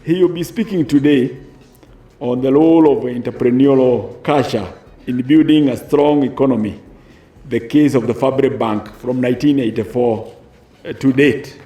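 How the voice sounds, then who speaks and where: A man's voice: medium pitch, moderate pace, warm tone. A man gives a formal introduction as a university host. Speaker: university academic introducing a speaker. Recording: echoey room, faint music underneath.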